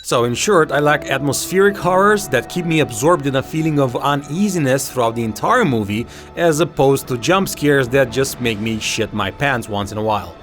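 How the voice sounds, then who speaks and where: A man presents a video commentary in a casual, sweary, conversational way. His mid-pitched voice moves up and down in a very animated manner. Music plays clearly behind him. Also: normal speed, hardly any pauses. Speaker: video essay host. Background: background music.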